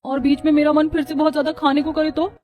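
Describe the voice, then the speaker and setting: A woman's voice: high-pitched, level tone, quick pace, phone-quality sound, natural voice. A woman playing a hurt, earnest young daughter-in-law with a plaintive manner in a cartoon.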